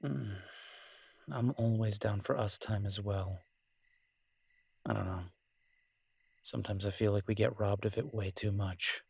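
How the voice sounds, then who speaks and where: Low, husky, tender werewolf boyfriend played by a man. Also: steady tone, a little slow, long pauses, a little mellow, no echo, exaggerated voice. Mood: tender, relaxed. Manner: hesitant, tender, conversational, lightly teasing. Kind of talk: romantic roleplay audio, intimate casual conversation.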